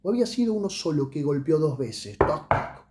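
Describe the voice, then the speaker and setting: A man's voice: fairly high pitch, big pitch swings, normal speed, noisy background. A man voicing a pensive, blunt police commissioner in an audiobook story, terse and musing, repeating himself. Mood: questioning.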